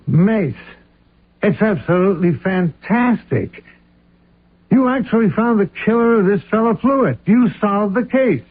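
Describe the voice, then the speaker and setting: An actor playing an excitable television director in a radio drama, with a husky voice. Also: male voice, sits high, measured pace, full character voice. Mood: excited, admiring. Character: excitable male TV show director. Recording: tinny old radio drama recording.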